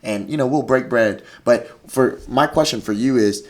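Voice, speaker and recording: A man's voice: medium pitch, normal speed. A man, not an English speaker, hosting a casual chat in slangy, profane speech. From Mississippi, United States. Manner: slangy, profane, says "bro" often. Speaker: podcast host.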